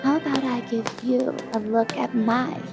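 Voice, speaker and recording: A woman's voice: a little high, fairly even intonation, normal speed, music in the background, natural voice. A woman playing a sultry, haughty Hollywood actress, teasing and snooty, in a comedic audio drama. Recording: long hall reverb.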